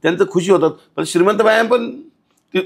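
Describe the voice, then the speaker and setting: A man's voice: high voice, a little fast, creaky. A man talking as a podcast guest in a blunt, indignant, scolding way, with many rhetorical questions. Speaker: outspoken podcast guest commenting on local civic issues. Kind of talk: conversational podcast interview.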